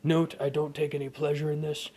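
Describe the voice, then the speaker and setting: A man's voice: fairly high pitch, monotone, brisk, dark tone, pitched above the performer's own voice, natural voice. A man voicing a determined teenage boy hero in a grim, matter-of-fact tone.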